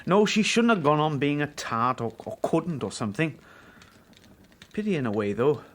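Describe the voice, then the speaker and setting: Sardonic husband with lively, high-pitched voice. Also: male voice, moderate pace. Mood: musing, contrary. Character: grumbling, sardonic, contrary husband. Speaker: actor in radio play. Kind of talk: BBC radio drama acting. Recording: faint background noise.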